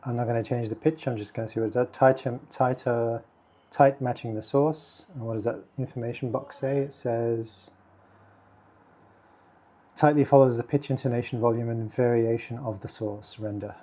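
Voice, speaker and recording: A man hosting a casual software tutorial, speaking quietly and thinking aloud with many fillers as he works. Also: medium pitch, steady tone, moderate pace. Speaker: video tutorial host. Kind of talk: casual screen-recording tutorial.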